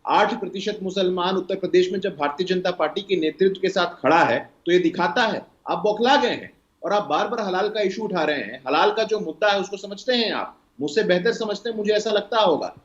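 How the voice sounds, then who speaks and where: Man, Indian accent, high voice, argumentative debate style, emphatic, echoey room. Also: expressive, brisk. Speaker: political party spokesperson on a TV debate panel. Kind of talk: televised political debate. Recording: roomy, dull lo-fi sound.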